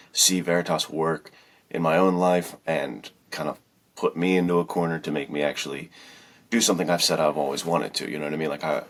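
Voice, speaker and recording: A man with a very deep, gravelly voice telling stories in a casual, slangy, conversational way on a podcast. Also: fairly bright tone, slight background noise. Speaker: podcast speaker, filmmaker and writer.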